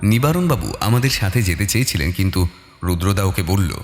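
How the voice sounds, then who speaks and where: A man narrating a detective story in the first person with expressive, dramatic delivery. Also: low voice, brisk. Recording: long reverb, music bed. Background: soft music.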